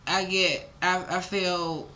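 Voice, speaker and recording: A woman's voice: on the low side, steady tone, takes it slowly, pushed and bright, rough recording. A young American woman talking candidly as an interview guest, informal and emphatic with slangy, salty language. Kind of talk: casual candid interview talk.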